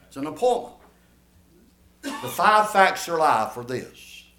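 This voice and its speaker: A man's voice: fairly high pitch, big pitch swings, slow pace, pushed and bright, a little hiss or hum. A man, preaching a folksy, emphatic sermon and drawing responses from his congregation. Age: 70s. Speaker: pastor. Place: church. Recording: echoey church room.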